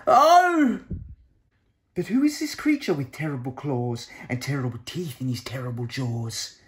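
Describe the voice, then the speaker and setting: A man in his thirties narrating a children's storybook in an expressive, measured way. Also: medium pitch. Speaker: storybook reader. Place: small plain room indoors.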